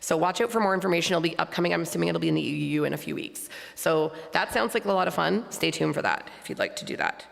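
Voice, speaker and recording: Woman, hoarse and heavily gravelly, lowish pitch, casual announcer at a congregation gathering. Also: fast talker, hardly any pauses. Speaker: congregation board member making announcements. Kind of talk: informal spoken church announcements. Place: large church sanctuary. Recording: long hall reverb from church sound system.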